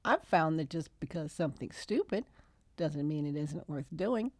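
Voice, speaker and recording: A woman's voice: sits low, expressive, moderate pace, no echo. A woman voicing an impish, cheerful male fairy with a beard in a storybook reading. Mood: wry.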